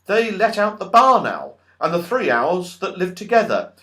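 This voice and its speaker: A man narrating a children's audiobook in an expressive, dramatic and emphatic storytelling style. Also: high-pitched, normal speed.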